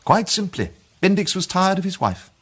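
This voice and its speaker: Give this part as a confident British amateur sleuth in a radio mystery. The voice is mid-pitched and a little husky, with lively, swooping intonation. The delivery is deliberate and emphatic, like a formal case being laid out. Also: male voice, exaggerated voice. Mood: confident, explanatory. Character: confident amateur male detective laying out his case. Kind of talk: British radio drama acting.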